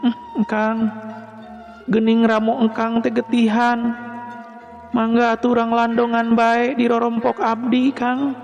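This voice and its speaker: A man voicing a pretty young woman, coy, polite and soft-spoken, with a Sundanese accent, in a told story. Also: very high-pitched, completely flat delivery, measured pace, vocal fry, long pauses, muffled recording, voice pushed up, heavily acted. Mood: concerned, inviting. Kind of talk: acted dialogue in storytelling. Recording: long reverb, music behind.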